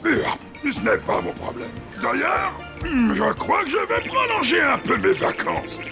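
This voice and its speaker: Cartoon monster, very creaky, grumpy, whiny, hugely animated pitch, music behind. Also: slow, heavily acted. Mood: smug, dismissive. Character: lazy, sly bogeyman monster, selfish and grumpy. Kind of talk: French cartoon dub acting.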